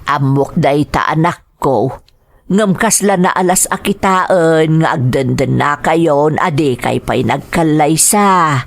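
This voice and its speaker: A woman's voice: low voice, slow, vocal fry, heavily acted. Woman acting kindly, cautious older Filipino mother. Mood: worried. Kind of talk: Ilocano audio drama acting.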